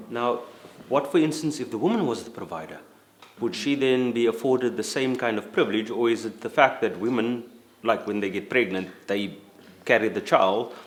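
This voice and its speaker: A man from South Africa, a photographer and activist, asking measured, articulate questions in a casual panel discussion. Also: mid-range voice.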